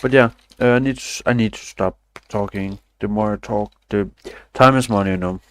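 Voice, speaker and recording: A man's voice: lowish voice, moderate pace. A young man, chatting loosely on a stream, rambling and swearing casually with self-deprecating asides. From Denmark. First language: Danish.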